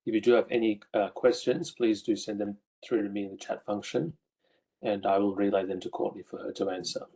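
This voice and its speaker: A man's voice: fairly low pitch, fairly even intonation, brisk, creaky, dark tone, low-quality recording. A man hosting an online seminar, giving housekeeping notes in a casual way with many fillers. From Australia. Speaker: seminar host. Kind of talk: housekeeping announcements.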